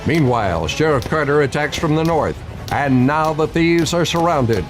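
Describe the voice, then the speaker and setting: A man's voice: a little high, lively intonation, normal speed, over music. A man giving dramatic scripted narration for an old-west radio play.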